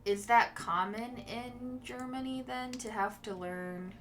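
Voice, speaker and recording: Woman, native Mandarin speaker, streamer, casual chat, relaxed, musing, slow pace, game music behind. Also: a little high. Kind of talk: casual chat with viewers while playing a game.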